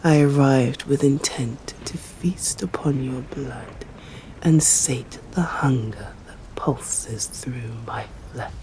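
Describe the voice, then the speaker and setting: A woman with an English accent playing a stern, courteous female vampire in an ASMR roleplay, speaking formally and deliberately in a breathy voice that often drops to a whisper. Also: a bass voice, noisy background. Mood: composed, intrigued. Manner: slow, deliberate, formal, menacing.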